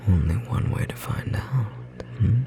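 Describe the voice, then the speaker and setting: A performer voicing a confident, tender storm sorcerer partner in a whispered ASMR roleplay, breathy and slightly rough, guiding slowly and soothingly. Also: low voice, lively intonation. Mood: playful confidence. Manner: slow, soothing, intimate guiding delivery.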